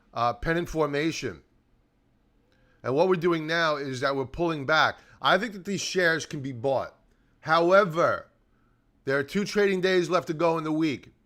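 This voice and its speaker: A man's voice: on the high side, pushed and bright. A man hosting a stock trading show, giving live chart commentary in an informal, emphatic way, repeating key points and answering his own questions. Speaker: stock trading show host and technical analyst.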